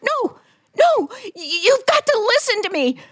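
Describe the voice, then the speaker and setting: An actress playing a frantic, terrified middle-aged woman held captive, halting and stammering in the manner of an old radio suspense play. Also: very high-pitched, big pitch swings, fairly bright tone, no room sound, clean studio sound, full character voice. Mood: frantic pleading. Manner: breathless, stammering, pleading, halting. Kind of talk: old-time radio suspense drama acting.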